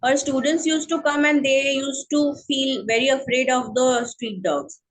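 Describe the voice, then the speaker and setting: A woman with a Bengali accent speaking English as a guest in an interview, slow and hesitant with many fillers. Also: high voice, fairly even intonation, low-quality recording. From India. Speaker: guest, counselling psychologist. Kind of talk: online interview, casual chat.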